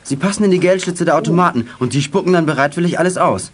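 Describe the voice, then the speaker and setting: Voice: mid-range voice, fast, lightly acted. A young male performer playing a precocious, pedantic know-it-all boy detective in a German children's radio play. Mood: explaining.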